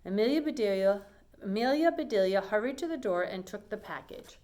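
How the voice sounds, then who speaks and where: A woman's voice: medium pitch, expressive, a little fast, warm tone. Woman reading a children's story aloud. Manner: simple explanatory phrasing, addressing young listeners. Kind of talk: read-aloud storytime with explanatory asides.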